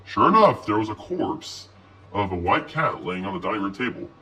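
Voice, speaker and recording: Animated male horror storyteller, forceful voice. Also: fairly low pitch, moderate pace. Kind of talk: expressive scripted horror narration. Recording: voice distant from mic.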